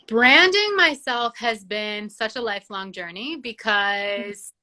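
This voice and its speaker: A woman's voice: fairly high pitch, expressive, slow pace, pushed and bright. A young woman from Georgia, a singer-songwriter whose first language is Georgian, chatting casually as an interview guest and filling her talk with "like". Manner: chatty, many "like" fillers.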